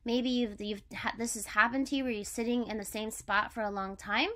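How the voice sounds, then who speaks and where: A woman's voice: a little high, fairly even intonation, fairly quick, sharp tone. A woman elementary school teacher giving a vocabulary lesson to children, explaining step by step with simple examples.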